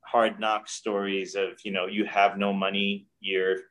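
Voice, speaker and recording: A middle-aged man speaks in a lowish, unhurried voice, reflecting earnestly and often restarting his sentences. He is talking from a room at home over a webcam call. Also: monotone, a bit bright, poor audio. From New York. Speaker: guest director. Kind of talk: casual interview. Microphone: video call webcam.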